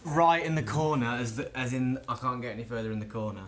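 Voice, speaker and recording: A man's voice: mid-range voice, fast talker, a crowd in the background. A young man filming himself with a handheld camera at arm's length, making dry, wry remarks as he travels through airports, planes and hotel rooms. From England. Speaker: vlogger. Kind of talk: casual travel vlog narration.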